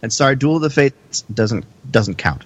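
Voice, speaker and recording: A man co-hosting a podcast in a casual, halting way, repeating phrases for emphasis. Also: medium pitch, big pitch swings, breathy edge, no echo. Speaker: podcast co-host.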